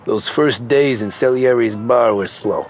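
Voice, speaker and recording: A man's voice: mid-range voice, a little slow, a little hiss or hum, lightly acted. An actor playing a reserved, respectful American man newly in the mob in a 1930s crime game, plain-spoken and understated. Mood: reflective. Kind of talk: cinematic video game acting. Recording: music behind the voice.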